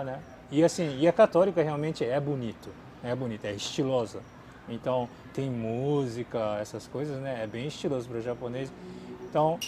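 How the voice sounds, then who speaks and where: A Japanese-born man speaking as a second language, casually answering viewer questions with lots of fillers, swinging from lively to quiet mumbling. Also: medium pitch, slight background noise. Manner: chatty, many fillers, drifts between lively and mumbling. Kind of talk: casual chat. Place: outdoors in a city.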